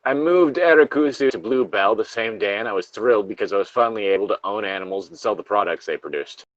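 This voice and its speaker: Man, lowish pitch, story reader, casual sardonic asides, swearing, muffled lo-fi sound. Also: fast talker, dry recording. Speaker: co-host reading video game creepypastas.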